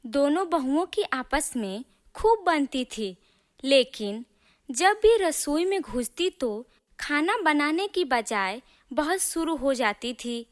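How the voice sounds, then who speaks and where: A woman's voice: high voice, very animated, slow. A woman narrating scripted stories as a storyteller. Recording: clear music bed under narration. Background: music.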